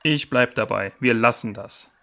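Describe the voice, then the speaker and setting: A man's voice: medium pitch, moderate pace, pushed and bright. A man playing a cautious, dutiful jungle station worker in a children's audio play, firm and reasoning in delivery.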